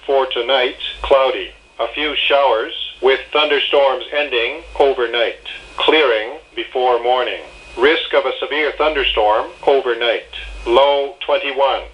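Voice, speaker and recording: A man's voice: medium pitch, a little slow. Dull lo-fi synthetic male weather announcer. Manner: synthetic, segmented announcing of forecast items. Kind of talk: automated scripted weather bulletin. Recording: dull lo-fi radio broadcast. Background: weather radio broadcast.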